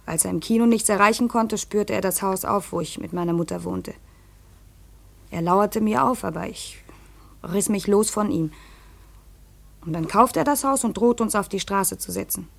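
A medium-pitched, sorrowful young widow in a 1950s radio play. She speaks hesitantly and earnestly, as if confessing. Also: moderate pace, gravelly. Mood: sorrowful, confiding. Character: troubled young widowed aunt, confiding and sorrowful.

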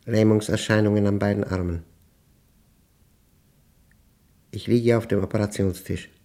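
An actor in a German radio play, playing a troubled, methodical professor who dictates a diary in deliberate fragments. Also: male voice, on the low side, warm tone. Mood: grave, clipped. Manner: deliberate, fragmented phrasing, precise, pedantic. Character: troubled methodical male professor recording his nightmares as a diary.

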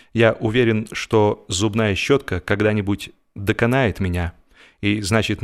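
Man narrating a comic story theatrically. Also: fairly low pitch. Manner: theatrical, mock-solemn. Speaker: audiobook narrator. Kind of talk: expressive humorous literary narration.

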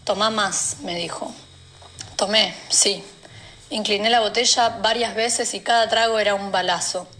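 A woman's voice: medium pitch, fairly even intonation, normal speed, bright, forceful tone. A woman with an Argentine accent reading a first-person novel extract as a narrator. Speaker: reader.

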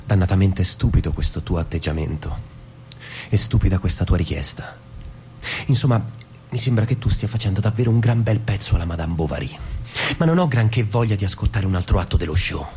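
An actor playing a defensive, self-righteous, wounded husband in an Italian radio stage drama, halting and then cutting. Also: male voice, low voice, animated, dark tone. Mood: accusing.

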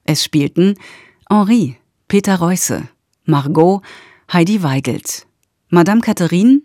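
Female radio announcer, slow, dark tone, dry studio sound, scripted. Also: on the low side, animated, dry recording.

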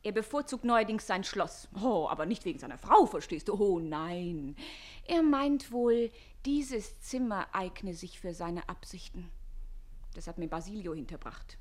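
Actress as a coquettish, witty young bride. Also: female voice, medium pitch, lively intonation, measured pace, darkish tone, lightly acted. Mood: knowing, insinuating. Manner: coquettish, knowing, playful. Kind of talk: classic German radio play acting.

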